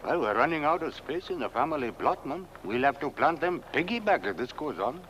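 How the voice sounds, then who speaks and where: An actor playing a plain-spoken rustic gravedigger in a comic film, folksy and matter-of-fact. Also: male voice, a little high, sing-song, brisk, a bit bright. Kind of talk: 1970s comic film acting. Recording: muffled old film soundtrack, faint noise.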